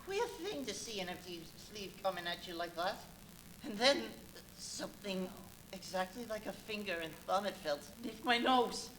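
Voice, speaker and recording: A woman's voice: medium pitch, animated, moderate pace, a bit bright, lightly acted. A woman playing a rattled, earnest villager in a live radio play, telling an uncanny tale with dramatic emphasis. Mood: hushed, uneasy. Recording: faintly noisy live stage recording.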